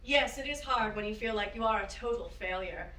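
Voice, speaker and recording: A woman's voice: fairly high pitch, brisk, sharp tone. A woman playing a spirited, encouraging woman aviator in a staged play reading, with an earnest, emphatic manner. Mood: sympathetic. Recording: roomy, distant microphone.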